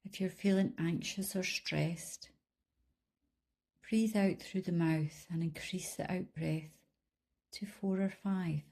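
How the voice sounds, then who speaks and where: A woman leading a guided body scan meditation in a calm, soothing, unhurried manner, leaving long pauses between instructions. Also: fairly low pitch. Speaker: meditation guide, life coach.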